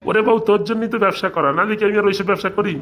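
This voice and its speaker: A scheming cartoon father , voiced by a man, who talks quickly. He is blustering and wheedling, forever angling for advantage. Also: high-pitched, fairly even intonation, a bit bright, slight background noise. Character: scheming, liquor-loving father of a grown son. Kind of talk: comic cartoon dialogue.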